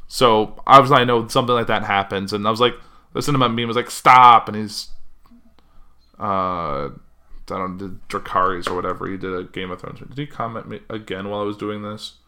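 A man hosting a podcast, talking casually and rambling, with a loud voice that carries and frequent self-corrections. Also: on the low side, brisk, clean tone. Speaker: podcast host. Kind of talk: casual solo chat.

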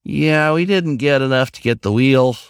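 A man's voice: moderate pace, clear, resonant tone, no room sound, clean studio sound, lightly acted. A man voicing an eager, chatty, lonely talking raccoon in a story, lecturing and pleading. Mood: solicitous. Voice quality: squeaky when pleading.

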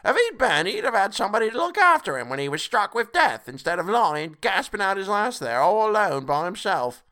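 A man's voice: high-pitched, big pitch swings, fairly quick, bright, forceful tone, voice pushed up, exaggerated voice. A man voicing a brazen, defiant working-class woman in a storybook reading, strident and scornful. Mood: scornful, bold.

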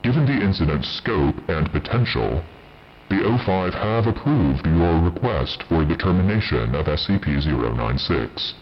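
An actor playing a cold, stern overseer in an audio drama, speaking in a formal, measured, authoritative way. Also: male voice, low-pitched, expressive, dull audio, a little hiss or hum. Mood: cold, official. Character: cold, stern male overseer passing sentence.

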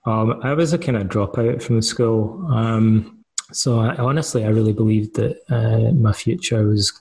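Scottish man, middle-aged, husky gravelly voice, mellow tone, unhurried interview answers. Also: lowish voice. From Scotland. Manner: thoughtful, hesitant with fillers and restarts. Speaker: guest.

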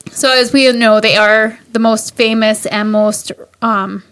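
Woman, podcast co-host, bubbly and giggly, fairly high voice, dry mic. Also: steady tone, a little slow, a bit bright, no room sound. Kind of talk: casual storytelling chat.

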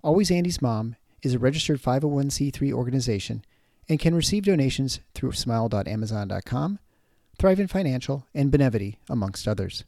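A man's voice: medium pitch, lively intonation, a little fast, gravelly, warm tone, dry recording, studio quality. A man reading a scripted podcast outro. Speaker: podcast announcer.

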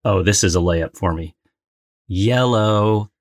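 A man's voice: on the low side, takes it slowly, background noise. A man co-hosting a trivia game with casual banter and a playfully dramatic delivery. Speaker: trivia game show co-host and contestant.

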